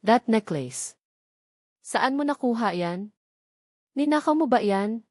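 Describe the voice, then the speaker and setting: A woman's voice: a little high, lively intonation, takes it slowly, a little mellow, dry recording, studio quality. A woman voicing a cold, furious young heir who makes clipped accusations and mixes in English phrases. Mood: cold fury.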